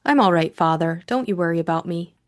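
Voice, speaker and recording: A woman voicing a bold, inquisitive young aristocratic lady with a brisk, direct way of asking. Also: fairly low pitch, expressive, close to an everyday voice. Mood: determined, breezy.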